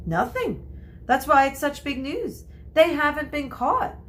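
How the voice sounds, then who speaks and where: A woman's voice: high voice, sing-song, measured pace, a bit bright, voice pushed up, full character voice. A woman voicing a gloomy, plain-spoken young wizard boy who grumbles his way through a storybook. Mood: gossipy.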